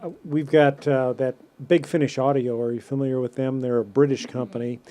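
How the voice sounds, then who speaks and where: Mellow-voiced man hosting a podcast. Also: medium pitch, steady tone, a little fast. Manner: friendly, hesitant with many fillers. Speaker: podcast host. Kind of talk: casual podcast conversation.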